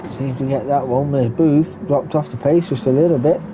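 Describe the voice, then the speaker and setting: A man giving relaxed running commentary on a sim race, stuffy and muffled from a cold. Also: mid-range voice, normal speed. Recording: muffled lo-fi recording with background noise.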